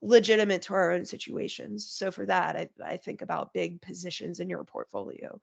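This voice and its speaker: A woman's voice: fairly low pitch, steady tone, a little fast. A woman, nasal from a head cold, explaining markets as a podcast guest in plain conversational terms. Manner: explains in clear plain terms, lists points.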